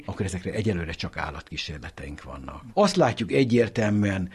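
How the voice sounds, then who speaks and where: A husky-voiced man giving emphatic, didactic explanations as a medical expert in an interview. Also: low voice.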